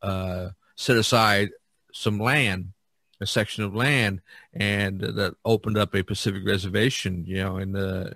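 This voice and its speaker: Low-voiced man talking casually, haltingly. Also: pushed and bright, dry recording. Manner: halting, repeating words as he thinks aloud. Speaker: podcast host. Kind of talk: casual discussion of art and history.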